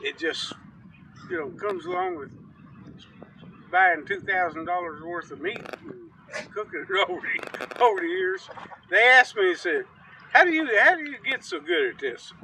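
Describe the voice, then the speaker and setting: A man's voice: high voice, normal speed, vocal fry, a little hiss or hum. A man telling rambling, folksy stories in casual chat outdoors on a riverbank, picked up from some distance. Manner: rambling, folksy, animated storyteller. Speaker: storytelling uncle, guest.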